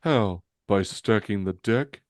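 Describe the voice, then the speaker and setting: A man's voice: lowish voice, slow pace, dry recording, studio quality. A man voicing a tough, wisecracking female superhero bruiser from a comic, blunt and sarcastic. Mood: mocking.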